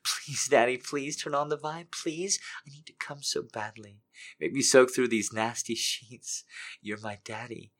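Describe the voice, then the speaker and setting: A man voicing a needy, submissive adult woman who pleads in a soft, breathy half-whisper. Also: mid-range voice, fairly even intonation, fairly quick, few pauses, voice pushed up, close to an everyday voice. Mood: desperate begging.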